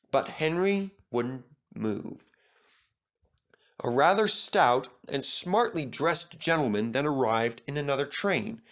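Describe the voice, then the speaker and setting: A man's voice: mid-range voice, a bit bright. A man reading a children's storybook aloud as scripted narration. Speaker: storybook reader.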